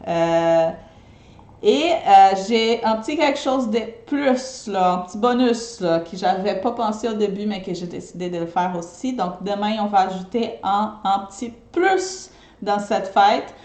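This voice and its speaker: A woman's voice: mid-range voice, measured pace, a little mellow, reverberant. Brazilian woman with Québécois-tinged French. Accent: Brazilian Portuguese mixed with Québécois French. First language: Portuguese. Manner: chatty, often checks in with viewers by asking "ok?". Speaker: eco-friendly party decorator. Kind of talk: casual live video chat to viewers.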